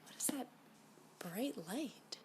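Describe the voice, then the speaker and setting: A woman playing a flirty, obsessive stalker in an ASMR roleplay, breathy and often whispering, with a coy, smitten manner. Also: sits high, lively intonation, noisy background. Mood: puzzled. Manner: coy, slow, unhurried, with giggly asides.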